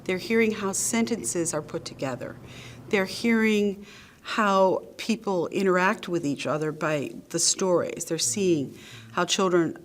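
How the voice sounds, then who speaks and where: A woman being interviewed as a nonprofit's executive director. She explains her program in a measured, earnest way, with an occasional um or uh. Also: on the low side, a little hiss or hum.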